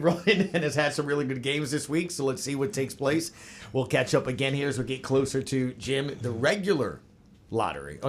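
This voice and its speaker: A man's voice: medium pitch, slight background noise. A man hosting a sports podcast in a casual, conversational style. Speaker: podcast host.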